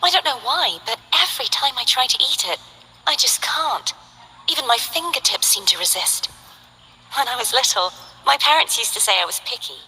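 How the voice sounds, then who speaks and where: A woman's voice: fairly high pitch, sing-song, moderate pace, sharp tone, husky, exaggerated voice, poor audio. A woman voicing a friendly, cheerful young female dancer in a game, chatty and candid. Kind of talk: game voice lines.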